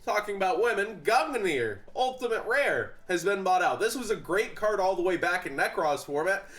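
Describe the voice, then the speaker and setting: A man's voice: high-pitched, sing-song, normal speed, a bit bright. A young man with a stuffy, congested voice, talking casually about card prices with fillers and restarts. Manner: chatty, frequent fillers and restarts. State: congested, sniffling. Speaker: card market commentator. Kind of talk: casual commentary.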